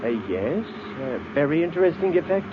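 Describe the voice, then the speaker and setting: An excitable old-time radio scientist. His voice is high and swoops about as he muses, hesitates and stresses his points. The vintage recording is muffled with faint noise. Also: fairly quick. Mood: musing. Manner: hesitant, musing, emphatic. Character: excitable, hesitant scientist professor, a grown man. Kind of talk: 1950s radio serial acting.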